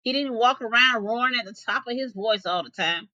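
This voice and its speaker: A woman reading a folktale aloud as an expressive storyteller. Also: fairly high pitch, a little fast, no room sound, dull audio.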